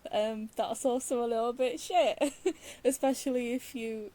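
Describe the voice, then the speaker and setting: A woman's voice: fairly high pitch, steady tone, a little slow, warm tone. A woman with a British accent giving casual, opinionated, wry commentary as she plays a game. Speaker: game streamer.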